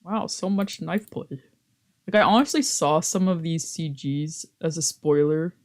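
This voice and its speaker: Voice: mid-range voice, a little slow, darkish tone. Streamer informal y malhablado, de tono medio. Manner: slangy, swearing. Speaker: streamer playing a visual novel. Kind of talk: casual livestream chat and reading game text aloud.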